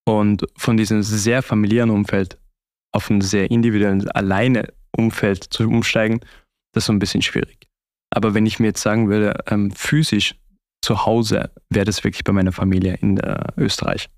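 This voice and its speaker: A man's voice: lowish voice, little pitch movement, normal speed, no echo, studio quality. A young man with an Austrian accent, talking reflectively and conversationally as an interview guest. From Austria (Vorarlberg).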